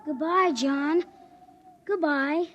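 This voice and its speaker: Very high-voiced, plaintive young boy. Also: extremely slow, lo-fi sound, faint music behind. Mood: anxious, sad. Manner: plaintive, pleading. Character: earnest, defiant young boy on Mars. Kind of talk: 1950s radio drama acting. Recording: noisy vintage radio recording.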